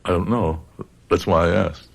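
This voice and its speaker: An actor playing a grave middle-aged doctor in a radio drama, speaking in a measured, probing, controlled manner. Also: male voice, low voice, creaky. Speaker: doctor, narrator. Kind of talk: radio drama acting with narration.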